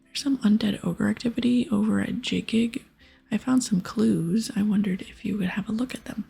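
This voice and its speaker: A woman voicing a persistent, inquisitive adventurer from a game in a plain, soft ASMR whisper. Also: medium pitch, normal speed, soft background music, full character voice. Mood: polite, determined. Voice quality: breathy whisper.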